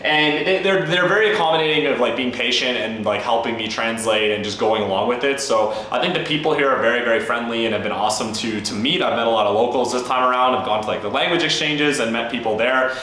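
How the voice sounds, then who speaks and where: A man's voice: mid-range voice, quick pace, few pauses, bright, forceful tone. A young Canadian man talking casually and frankly to camera as a travel vlogger, with lots of fillers. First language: English. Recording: very echoey room with faint ambient noise.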